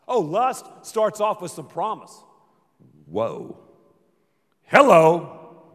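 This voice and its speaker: High-pitched pastor guy giving a sermon, goes dramatic then quiet, big echoey church. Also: wildly swooping pitch, very slow. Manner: playful, dramatic preaching with sudden drops to a low murmur. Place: large church hall. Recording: reverberant church hall.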